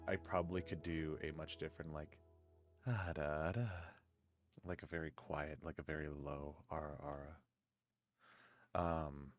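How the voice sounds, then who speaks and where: A man hosting a live stream in casual chat, groggy from just waking up and rambling in a low-key way. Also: fairly bright tone. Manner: rambling and low-key, with many filler words. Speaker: streamer and podcast host.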